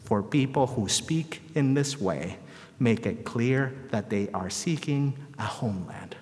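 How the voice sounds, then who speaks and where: Guy giving a sermon in a big echoey church, mid pitch, deliberate phrasing, repeats lines for effect. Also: lively intonation, a little mellow. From El Salvador. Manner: measured, rhetorical repetition and deliberate phrasing. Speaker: preacher. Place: large reverberant church or hall. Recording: echoey hall with long reverb.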